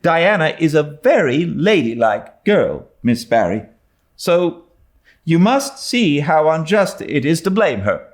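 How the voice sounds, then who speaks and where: Man as an earnest high-voiced young girl. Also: very animated, slow pace. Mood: earnest confession. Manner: pleading, resolute, tremulous. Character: earnest, imploring, dramatic young orphan girl. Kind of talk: dramatised audiobook reading of a classic novel.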